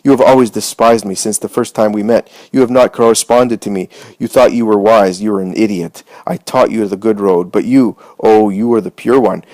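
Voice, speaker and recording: A man reading aloud voices a furious, scornful male traitor, hoarse and panting as he shouts accusations. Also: lowish voice, dry recording, close to an everyday voice.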